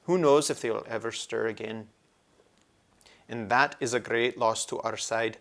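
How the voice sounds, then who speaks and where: A man voicing an earnest, loyal talking badger in a storybook, speaking gravely and thoughtfully. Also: medium pitch, steady tone, normal speed, fairly bright tone.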